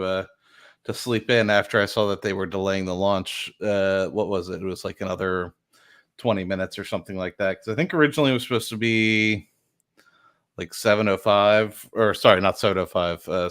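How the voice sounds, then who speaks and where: A man hosting a casual livestream from home, rambling and correcting himself in quick bursts. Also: on the low side, fairly even intonation, a bit bright, clear, resonant tone. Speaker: livestream host.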